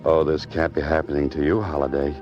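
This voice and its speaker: An actor playing an exasperated, sardonic writer roped into trouble in a 1940s radio drama. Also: male voice, very deep voice, little pitch movement, a little fast, over music. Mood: wry, resigned. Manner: dry, sardonic, protesting. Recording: dull vintage 1940s radio recording.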